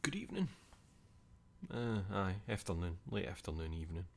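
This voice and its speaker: Young Scottish male streamer, very low voice, loose rambling chat with casual swearing. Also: sing-song, moderate pace, vocal fry.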